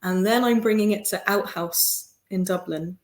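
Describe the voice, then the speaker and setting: A woman with a London accent, an articulate and expressive podcast guest in casual conversation who laughs often. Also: medium pitch, normal speed, reverberant. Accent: London English. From London, England. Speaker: podcast guest, theatre maker.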